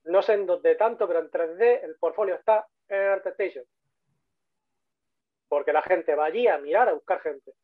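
A man's voice: high-pitched, normal speed, no echo. Chatty Spanish man over a tinny call. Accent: Spanish (Spain). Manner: chatty, repeats himself for emphasis. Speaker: guest speaker, 3D modeler. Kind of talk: casual online talk. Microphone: video call. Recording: tinny phone-like call audio.

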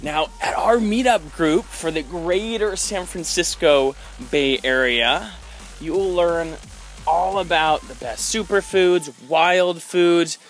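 An enthusiastic young man talks into a phone held at arm's length outside by a seaside spring. His voice sits a little high and he speaks slowly and deliberately. Clear music plays underneath him. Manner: upbeat and enthusiastic. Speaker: meetup organizer. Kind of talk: casual promotional vlog. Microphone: phone camera at arm's length.

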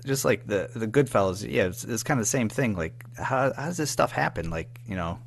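A man chatting casually as an interview guest, informal and halting, padding his sentences with filler phrases. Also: fairly low pitch, animated, vocal fry, breathy edge. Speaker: podcast guest, audio drama writer-producer.

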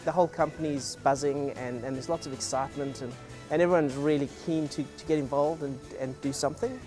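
A man in his thirties on a hackathon team, talking enthusiastically but haltingly in a casual office interview. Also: on the high side, fairly even intonation. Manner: enthusiastic, halting with repeated ands. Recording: music bed underneath.

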